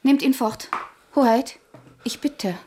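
A scheming, coquettish lady of the court in a radio drama. Her voice sits fairly high, hushed and servile to royalty, over faint background noise. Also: steady tone, slow pace. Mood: pleading. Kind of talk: German radio play acting.

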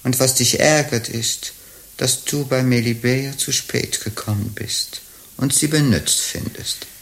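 A woman's voice: extremely low pitch, a little slow, vocal fry, full character voice. An actress playing a sly, teasing, hundred-year-old witch and bawd in a radio play, speaking in a mocking, grandiloquent way.

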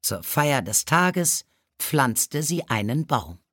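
A man reading scripted biographical stories for children as an audiobook narrator, measured and clearly articulated. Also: on the high side, very animated, fairly bright tone, no room sound, studio quality.

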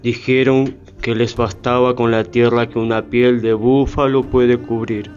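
Actor as solemn, even-toned native storyteller. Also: male voice, mid-range voice, measured pace, natural voice. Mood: grave, regretful. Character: solemn, wronged Delaware native man remembering the settlers. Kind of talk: radio theatre monologue. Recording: slightly muffled, faint music behind.

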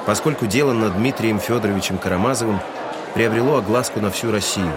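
A man's voice: on the low side, heavy background noise. A man giving scripted literary narration as the narrator of an audio drama.

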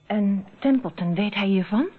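An actress playing a caring, anxious confidante in a radio drama, solicitous and inquisitive, on a narrow old radio recording. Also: female voice, mid-range voice, moderate pace, natural voice. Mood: curious, concerned.

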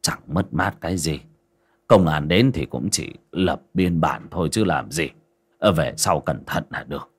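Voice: very deep voice, lively intonation, unhurried, husky, voice pushed down, exaggerated voice. A narrator voicing a frail, cautious old village man with plain rural, sometimes stammering speech in an audio story. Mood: subdued.

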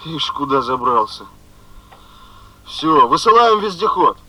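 An actor playing a brisk police major giving clipped official reports over the telephone in a radio drama. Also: male voice, fairly high pitch, big pitch swings, sharp tone, slight background noise, exaggerated voice, rough recording. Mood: brisk, surprised. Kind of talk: 1970s Soviet radio drama acting.

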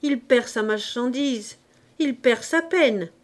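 A woman as a cocky street boy in a storybook reading, loud, slangy and bossy, slow-paced. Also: a little high, voice pushed up. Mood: mocking. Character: cheeky streetwise Paris urchin boy.

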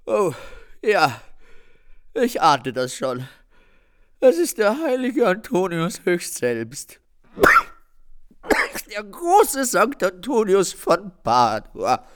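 An actor playing a matter-of-fact, officious police officer in a German radio play, with a wry manner. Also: male voice, high voice, wildly swooping pitch, very slow, long pauses, smooth, full character voice.

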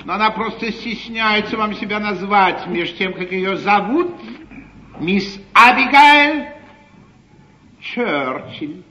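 A sardonic, scheming gentleman of period stage comedy. His high, bright voice swoops through mocking, ornately polite declamation. The old theatre recording is muffled. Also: lively intonation, slow, heavily acted. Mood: triumphant, teasing. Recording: muffled, faintly noisy 1953 theatre broadcast.

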